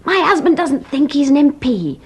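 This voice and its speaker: High-voiced, chiding posh English lady. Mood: brisk, chiding. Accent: upper-class English. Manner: brisk, chiding, expressive. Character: plucky, practical upper-class English married lady. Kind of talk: stage farce radio acting.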